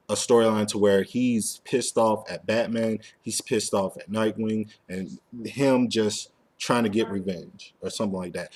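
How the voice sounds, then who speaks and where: A man with a fairly low voice hosts a fan podcast. He talks in a loose, informal way and drops in slang as he goes. The delivery is that of casual conversation. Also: darkish tone. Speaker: podcast host. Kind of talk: casual fan discussion of an animated series.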